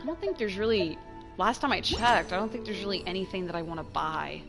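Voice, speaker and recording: A woman giving casual, chatty gameplay commentary as a streamer, expressive and trailing off into asides. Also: mid-range voice, fairly quick, over music. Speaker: game streamer.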